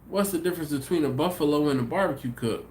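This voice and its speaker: A man's voice: fairly high pitch, fast, a little mellow, slight background noise. A man hosting a casual livestream, chatting with viewers in an easygoing, rambling way and sounding a bit congested and sniffly. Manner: easygoing, thinks aloud with many ums and restarts. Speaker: livestream host. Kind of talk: casual live chat reading viewer comments.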